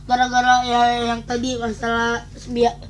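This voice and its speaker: High-voiced hoarse young woman. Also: completely flat delivery, slight background noise. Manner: chatty, quick and playful, addresses viewers as guys. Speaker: beatbox vlogger. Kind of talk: casual vlog chat.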